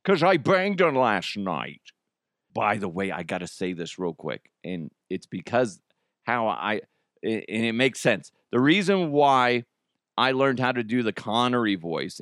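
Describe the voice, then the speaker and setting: A man hosting a comedy podcast, his voice raspy and hoarse. He rambles through the news, laughing at his own jokes. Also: low voice, fairly bright tone, no room sound, studio quality. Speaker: podcast host. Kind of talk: casual comedic commentary.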